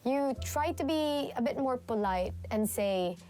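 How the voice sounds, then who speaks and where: A woman's voice: sits high, expressive, unhurried, warm tone. A woman language tutor in an instructional video, patiently explaining phrases and repeating them.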